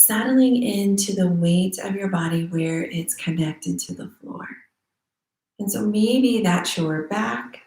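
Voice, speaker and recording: A woman leads a guided meditation in a mellow, relaxed voice. She speaks slowly and soothingly, leaving long pauses between phrases. The room adds a noticeable echo around her. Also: medium pitch. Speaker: meditation teacher. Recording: roomy echo.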